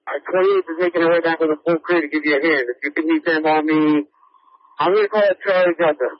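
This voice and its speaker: A man's voice: a little high, fairly even intonation, quick pace, no room sound. Muffled scanner radio, guy doing fire dispatch, short procedural phrases. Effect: two-way radio. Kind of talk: emergency radio dispatch traffic. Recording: dull lo-fi scanner radio feed.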